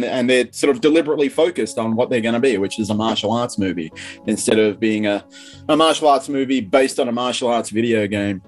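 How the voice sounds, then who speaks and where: Guy critic being interviewed, medium pitch, informal and opinionated, music behind him. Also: darkish tone. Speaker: film critic guest. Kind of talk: casual interview commentary.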